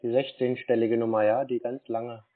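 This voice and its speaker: A man on a phone line answering in short, plodding, deadpan replies while posing as a naive victim. His voice sits at an ordinary middle pitch. The sound is narrow and tinny, like a telephone. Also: moderate pace. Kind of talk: prank phone call.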